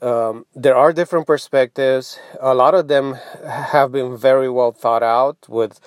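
A man's voice: mid-range voice, fairly even intonation, dry recording, studio quality. Hesitant, reflective man, native Romanian speaker. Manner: halting, reflective, with frequent pauses and throat clearing. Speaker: podcast host. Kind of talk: informal explanatory monologue.

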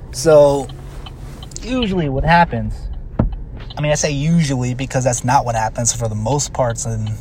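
A man's voice: mid-range voice, darkish tone. A man in his mid-twenties rambling casually and cursing a lot while driving in his car. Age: 20s. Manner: profane, rambling, frequent fillers. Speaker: vlogger. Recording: car and road noise.

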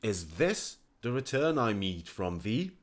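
A man reading aloud plays an aged court physician from an old tale, desperate and beseeching. His deep voice strains upward into raised, pleading cries, with wide swings between rising entreaty and falling despair. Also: big pitch swings, takes it slowly. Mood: bitter.

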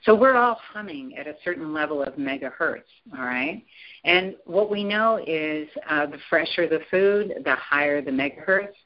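An enthusiastic woman with a lowish voice, talking as an interview guest. She is emphatic and swoops upward in pitch for emphasis. The sound is dull and lo-fi. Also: animated, moderate pace. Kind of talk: animated interview talk.

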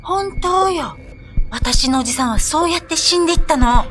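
An earnest, troubled village woman in a radio drama. Her voice is on the high side, set against clear background music. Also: animated, moderate pace. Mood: sorrowful. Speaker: actress. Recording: voice over loud background music.

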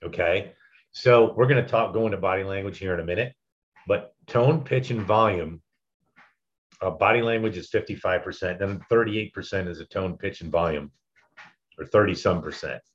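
Man, deep loud voice, relaxed instructor explaining speaking technique; dull lo-fi recording. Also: moderate pace. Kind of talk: casual teaching chat.

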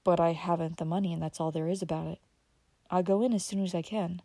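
A woman doing a calm modest young man. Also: lowish voice, fast, no room sound. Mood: calm explaining.

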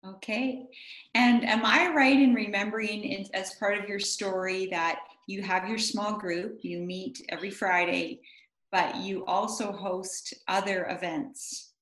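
A woman's voice: mid-range voice, fairly even intonation, unhurried, fairly bright tone, reverberant, rough recording. A woman hosting a faith interview, asking questions and affirming her guest's answers. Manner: encouraging, affirming. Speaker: interviewer, host.

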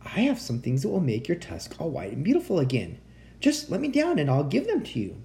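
A man playing a polite, earnest, quick-thinking young adventurer in a children's storybook. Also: fairly high pitch, wildly swooping pitch, creaky, dark tone, full character voice. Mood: pleading. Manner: earnest, pleading.

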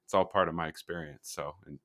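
A man hosting a podcast in relaxed casual chat, rambling with fillers and trailing off. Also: a bass voice, fast talker. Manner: laid-back, rambling, many fillers and trailing off. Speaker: podcast host.

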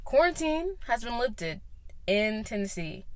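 A woman in her late teens hosting her own podcast, talking in a chatty, rambling way with lots of fillers and sudden high-pitched exclamations, recorded inside a car. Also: very animated, measured pace, darkish tone, no echo. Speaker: podcast host. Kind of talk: casual solo podcast chat.

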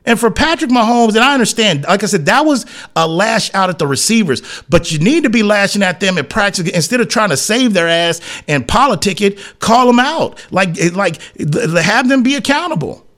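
A man's voice: high-pitched, lively intonation, normal speed, hardly any pauses, fairly bright tone. A man hosting sports talk, giving an emphatic, blunt, opinionated monologue in colloquial, slangy speech and repeating phrases to hammer them home. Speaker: sports talk show host.

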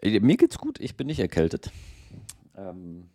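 A man co-hosting a casual theological podcast, explaining in a relaxed way and thinking aloud with many fillers. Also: sits low, unhurried, no room sound. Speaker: podcast co-host.

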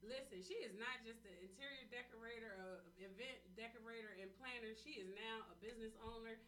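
A woman hosting a casual radio chat, animated and laughing, repeating "listen" to hold attention. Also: fairly high pitch, a little fast, distant mic, a little hiss or hum. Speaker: radio host.

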